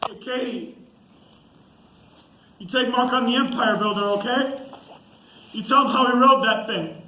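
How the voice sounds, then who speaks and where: Young guy playing anguished army sergeant in a school play, high bright voice, emphatic, bit of noise. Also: unhurried, heavily acted. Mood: urgent, wistful. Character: anguished stranded army sergeant, a husband pleading and remembering. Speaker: student actor. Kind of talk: student stage drama. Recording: echoey stage, distant camera, background noise.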